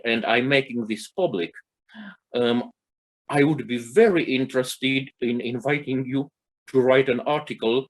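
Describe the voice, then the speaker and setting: A man whose first language is Romanian, co-hosting a literary interview and pausing often to search for words. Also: mid-range voice. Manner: halting, searching for words with many fillers. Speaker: co-host.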